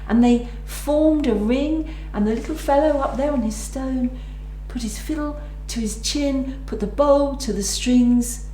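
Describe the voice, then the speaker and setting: A woman telling a folk tale aloud in a measured way, with dramatic pauses and emphasis. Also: high-pitched, dark tone. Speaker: storyteller. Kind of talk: live oral folk tale storytelling. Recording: roomy echo with background noise.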